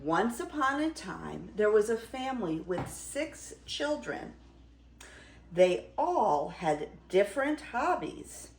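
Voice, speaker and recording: Older woman storyteller, very animated, roomy sound. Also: medium pitch, slow, slight background noise. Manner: theatrical, dramatic storytelling with emphasis. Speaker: grandmother reading stories to her granddaughter. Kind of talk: expressive fairy tale read-aloud.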